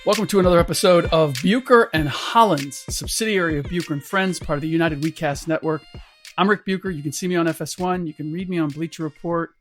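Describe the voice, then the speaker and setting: Hoarse middle-aged male podcast host. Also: on the high side, fast talker, soft background music. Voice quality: slightly rough voice. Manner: rambling, many restarts, chuckles. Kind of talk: casual podcast chat.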